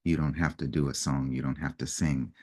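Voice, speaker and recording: A man speaking in an unhurried, reflective way as he tells a personal story, now and then acting out what people said. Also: rumbling low, slightly rough. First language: English. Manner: unhurried, reflective storytelling with lively acted-out quotes. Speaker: personal development facilitator.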